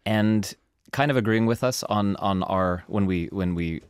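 A male co-host asks questions in a loose, joking manner and is quick to apologize for his own teasing. His voice sits a little low, and it is recorded dry and close with no room sound. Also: clean tone, no room sound. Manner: chatty, self-deprecating, quick to joke. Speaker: podcast co-host. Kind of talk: casual interview questions.

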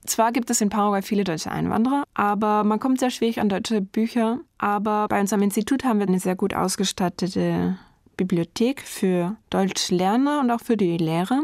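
A woman in her thirties from Germany, a German teacher answering portrait interview questions in a thoughtful, measured way, enthusiastic about teaching. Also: medium pitch, darkish tone, no echo, studio quality.

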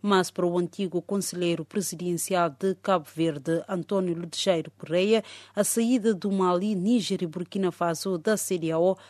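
A woman's voice: on the low side, fairly even intonation, normal speed, a little mellow, dry recording. A woman narrating a scripted radio news report as a reporter.

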